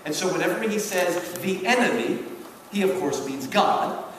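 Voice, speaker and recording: A man giving an animated public lecture as a college teacher, with an emphatic, theatrical storytelling manner. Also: high voice, moderate pace. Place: large indoor hall at a public event. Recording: very echoey hall from far off, faint noise.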